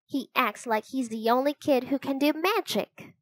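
A woman's voice: high voice, normal speed, slight background noise, pitched above the performer's own voice, natural voice. A woman voicing a bold, eager little girl in a children's adventure story, with an exclaiming manner. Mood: gleeful.

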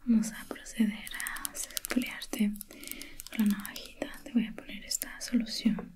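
A woman whispers softly and breathily as a spa facialist in an ASMR roleplay. She takes her time, speaking very slowly in a gentle, reassuring way with affectionate little words. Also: mid-range voice, steady tone.

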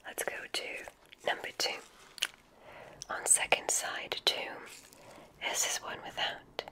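A kindly witch examiner speaks in a hushed whisper for an ASMR roleplay. The delivery is very slow and deliberate. The manner is soothing and gentle, with softly approving remarks.

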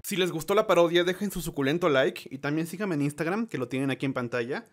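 A man's voice: on the high side, fast. A man giving a casual sign-off as the creator of a video channel.

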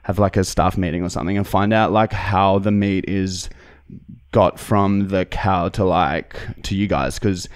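A man's voice: low-pitched, steady tone, no room sound, studio quality. An Australian man putting on a hesitant, self-righteous vegan customer who rambles and gets sidetracked during a prank phone call. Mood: preachy, earnest. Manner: halting, rambling, peppered with "like". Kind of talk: prank phone call put on for a comedy podcast. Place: indoor podcast studio. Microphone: close studio microphone.

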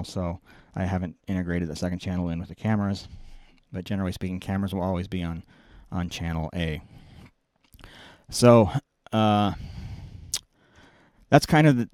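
A man's voice: low-pitched, a little mellow, no echo, clean studio sound. A middle-aged man giving an informal technical walkthrough, explaining step by step and often correcting himself as he goes. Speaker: video production engineer giving a tutorial. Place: small indoor production control room. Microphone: close boom-mounted microphone.

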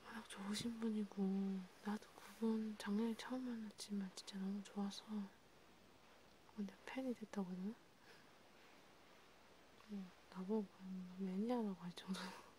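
Hushed, mellow woman in soft ASMR chat. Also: medium pitch, steady tone, moderate pace, long pauses, a little hiss or hum, low-quality recording. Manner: hushed, rambling, intimate one-sided conversation. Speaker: ASMR creator. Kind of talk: soft ASMR roleplay chat, partly whispered.

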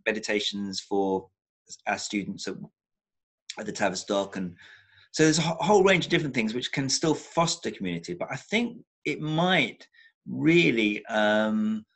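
An older man with a fairly low voice talks through a webcam on a video call from a room at home. He thinks aloud, circling back and repeating words for emphasis as he builds his point. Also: animated, normal speed, a bit bright, husky. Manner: thoughtful, many restarts, repeats words for emphasis. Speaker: psychotherapist guest. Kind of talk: reflective video-call interview. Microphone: laptop webcam on a video call.

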